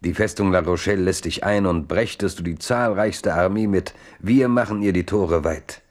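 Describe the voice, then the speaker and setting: Deep-voiced solemn chronicler. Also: male voice, moderate pace. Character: solemn chronicler of a king's history. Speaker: narrator. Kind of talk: scripted radio play narration.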